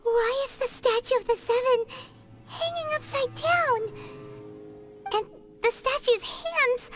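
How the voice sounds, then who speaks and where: A woman voicing a tiny, childlike, easily spooked female fairy companion in a video game, squeaky and expressive. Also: takes it slowly. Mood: frightened. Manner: refers to self in third person, hesitant and expressive. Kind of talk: animated video game acting. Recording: game music behind the voice. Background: orchestral game music.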